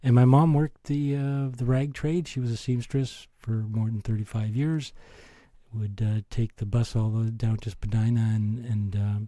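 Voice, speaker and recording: A man's voice: mid-range voice, fairly even intonation, moderate pace, warm tone, no echo. A middle-aged or older man with a Canadian accent, telling personal stories as an interview guest in a modest, reflective way. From born in Italy, raised in Toronto. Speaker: interview guest, finance executive.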